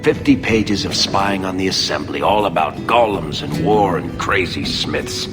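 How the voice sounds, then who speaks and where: An actor playing a wry, roguish dwarf in a video game, with a dry, sardonic manner. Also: male voice, low voice, unhurried. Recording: reverberant game audio with music.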